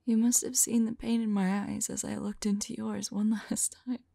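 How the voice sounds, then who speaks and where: A woman playing a remorseful, lovelorn female vampire in an ASMR roleplay, whispering softly and tenderly in a halting, intimate monologue. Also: medium pitch, flat delivery, no room sound. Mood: anguished. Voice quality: soft, breathy whisper. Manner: slow, halting, tender delivery.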